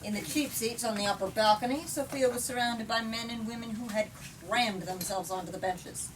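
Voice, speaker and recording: A woman storyteller reading a novel aloud to listeners with dramatic, deliberate phrasing. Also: fairly high pitch. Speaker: storyteller, book talk leader. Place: bookstore. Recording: distant mic, clear background noise.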